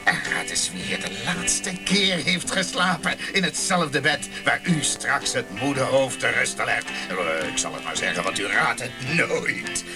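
An actor playing a fawning, scheming motel keeper in a Dutch radio play, with hesitant, obsequious sales patter. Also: male voice, mid-range voice, sing-song, unhurried, hardly any pauses. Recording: music behind the voice.

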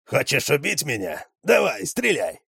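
A man's voice: mid-range voice, wildly swooping pitch, a little slow, vocal fry, a bit bright, slightly rough, dry recording, studio quality, voice pushed up, exaggerated voice. A man voicing a wiry, tattooed, sly male ex-convict who mocks people in prison slang. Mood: defiant.

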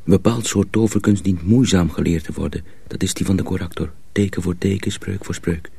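Knowing sorcerer's apprentice, very husky, low, earnest, confiding, radio play. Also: male voice, fairly even intonation, fairly quick, dark tone, dry recording, heavily acted. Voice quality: raspy, gravelly. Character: earnest, knowing young mill hand apprentice in magic. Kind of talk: radio drama acting.

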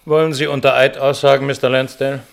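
Man acting a businesslike chairman running a hearing, formal, polite, middle pitch, radio play. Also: level tone, fast, vocal fry, a little hiss or hum, close to an everyday voice. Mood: official. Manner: official, orderly, courteous.

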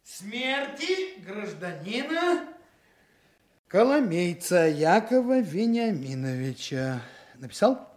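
An actor playing a brisk senior criminal investigator in a Soviet radio drama, instructing his men and dictating deliberately. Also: high voice, big pitch swings, full character voice. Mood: businesslike dictating. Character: brisk male senior criminal investigator, commanding but patient.

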